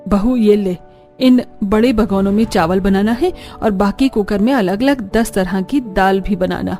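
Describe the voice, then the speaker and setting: A woman voicing a bossy middle-aged mother-in-law in a cartoon story, brisk and commanding. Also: mid-range voice, dark tone, faint music behind, voice pushed down, close to an everyday voice.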